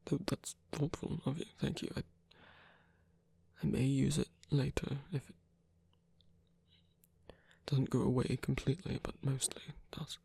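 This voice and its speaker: A performer in an intimate ASMR roleplay, playing a proud, flirtatious villain in pain who speaks softly and haltingly, guarded yet tender, in a breathy, gravelly voice. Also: medium pitch, level tone, full character voice. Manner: halting, hesitant, murmured.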